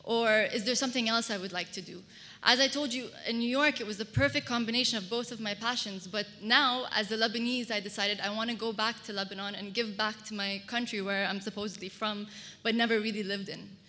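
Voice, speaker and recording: Woman doing a conference talk, personal story, bright clear-cut voice, big echoey hall. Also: a little high, brisk. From Kuwait. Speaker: public speaker, fashion designer. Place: large hall, speaking to an audience. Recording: reverberant hall, amplified stage talk.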